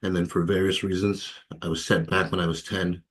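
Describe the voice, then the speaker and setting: A man in his thirties from Ghana, telling his personal story as an interview guest, reflective and hesitant, with many fillers and soft laughs. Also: deep voice, flat delivery, a little fast. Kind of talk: candid personal testimony.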